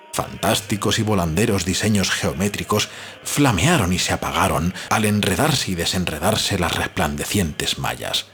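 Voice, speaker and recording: A man reading a novel aloud as a narrator, in a measured, solemn storytelling manner. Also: deep voice. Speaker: audiobook narrator. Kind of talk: scripted literary narration of a novel.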